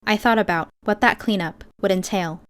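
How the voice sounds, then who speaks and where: A woman with a medium-pitched voice reads first-person fiction as an audiobook narrator. Her storytelling is measured and expressive.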